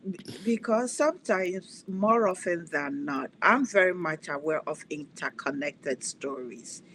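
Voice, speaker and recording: A woman's voice: lowish voice, expressive, unhurried, fairly bright tone, a little hiss or hum, rough recording. A woman from Ghana hosting a podcast interview, reflective and affirming, often answering with "yes". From West Africa, Ghana. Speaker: podcast host.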